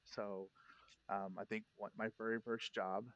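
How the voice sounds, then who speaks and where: A man's voice: on the low side, little pitch movement, measured pace, fairly bright tone, no room sound, highs cut off. A man in his thirties, a podcast host, telling a rambling holiday story in casual chat, often restarting his sentences. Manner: rambling, self-correcting storyteller.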